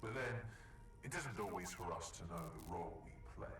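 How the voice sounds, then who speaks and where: Game role: solemn conspirator, deep, deliberate, off-mic with noise behind. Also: male voice, lively intonation. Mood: grave, resigned. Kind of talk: video game cutscene acting. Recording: echoey, distant, noisy ambience.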